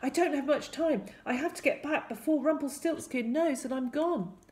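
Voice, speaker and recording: A woman's voice: high voice, moderate pace, a little mellow, pitched above the performer's own voice, lightly acted. A woman voicing a brave, urgent young boy hero in a storybook, with emphatic commands.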